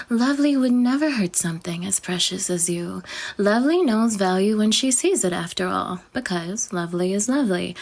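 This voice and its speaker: A woman voicing a vain, possessive goddess in an audio roleplay, with a breathy, whispery voice and a self-adoring, teasing manner. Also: a little high. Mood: smug. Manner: self-adoring, teasing, sing-song swings up. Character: vain, possessive female goddess, adult, obsessive.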